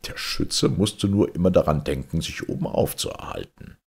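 A man reading a fairy tale aloud in a husky, gravelly voice, dramatic and deliberate. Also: low-pitched, darkish tone. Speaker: storyteller, audiobook reader. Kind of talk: expressive fairy-tale narration.

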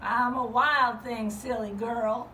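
A woman voicing a calm, gentle male wild-thing toy creature who speaks slowly and low. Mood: kindly. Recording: roomy, from far off, faint hum.

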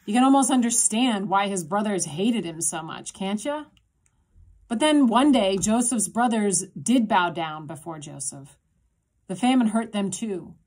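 Woman pastor telling a children's sermon. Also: fairly high pitch. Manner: gentle, simple storytelling to children, with slow emphasis. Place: small indoor room with a block wall. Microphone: phone camera at arm's length.

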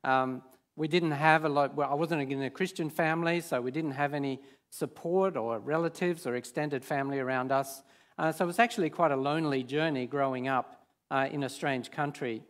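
A man's voice: on the high side, fairly quick. Man, fifties, Swedish native speaker, guest preacher, church stage, hesitant, many fillers. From Sweden. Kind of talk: informal sermon.

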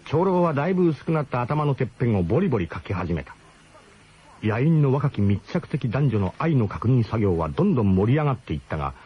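A man's voice: fairly low pitch, slightly rough. A man narrating a radio drama in the first person, in a colloquial, wryly literary storytelling manner. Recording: dull, slightly noisy old radio broadcast.

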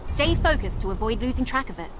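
High-voiced spirited young witch, loud music. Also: very animated, fast talker. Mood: instructive. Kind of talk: scripted video game tutorial narration. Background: loud game music.

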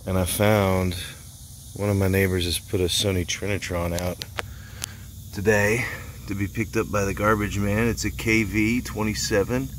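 A man's voice: lowish voice, little pitch movement, normal speed. An American man giving casual, folksy vlog commentary as the host of his own video. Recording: clear background noise.

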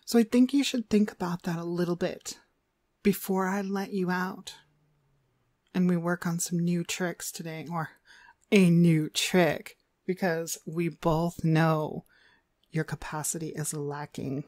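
Woman acting as a contemptuous demonic mistress, low-pitched, haughty scolding, dry close sound, ASMR roleplay. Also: steady tone, darkish tone, no room sound, natural voice. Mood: cold contempt. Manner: haughty, slow, scolding delivery with drawn-out emphasis. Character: cruel, contemptuous female dog trainer, demonic domineering mistress.

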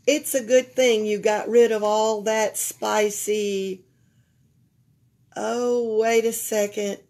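Slow, gravelly Southern US woman reading aloud. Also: mid-range voice. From Alabama, United States. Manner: expressive, pausing to comment to young listeners. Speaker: library storyteller. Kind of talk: children's picture book read-aloud. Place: indoors at a library table.

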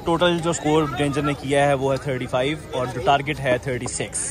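A man's voice: medium pitch, a little fast, music in the background. A man giving live ball-by-ball commentary on an amateur cricket match at an outdoor floodlit ground, calling every ball. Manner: excited commentary, rising on big shots. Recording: crowd noise from an outdoor ground. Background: players and crowd chatter.

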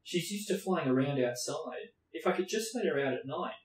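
A man's voice: fairly high pitch, animated, normal speed, pitched above the performer's own voice, lightly acted. A man voicing a put-upon young wizard boy in a slightly raised, pleading tone, in a roomy space. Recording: roomy echo.

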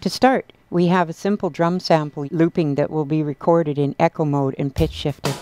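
A woman's voice: low-pitched, fairly even intonation, moderate pace. A woman demonstrator giving scripted instructional narration, with drum loops and glitchy effects behind her. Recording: loud drum loop music behind. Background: drum loops and glitchy synth effects.

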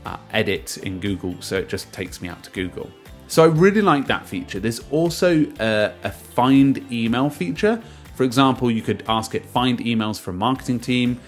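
A man presenting a casual software review as a screen-share voice-over, explaining step by step, starting sentences with emphasis and trailing off at the ends. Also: fairly low pitch.

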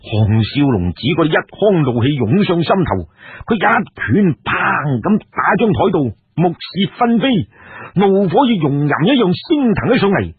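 A man with a Cantonese accent telling a dramatic story in an expressive way. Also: high voice, moderate pace, slightly rough, no room sound. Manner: expressive, acts out dialogue. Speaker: storyteller, narrator. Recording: muffled, narrow radio-like, phone-grade audio.

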